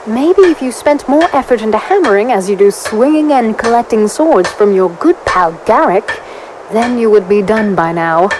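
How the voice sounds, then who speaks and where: A woman playing a sharp-tongued, playful warrior in a fantasy audio drama, with sarcastic, teasing delivery. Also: on the high side, expressive, moderate pace, noisy background, close to an everyday voice.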